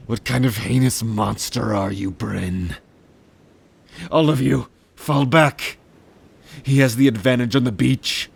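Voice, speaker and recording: A man's voice: mid-range voice, gravelly, slightly rough. A man playing a cruel, arrogant merman prince in a fantasy audio drama, taunting with haughty contempt. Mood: alarmed, threatening.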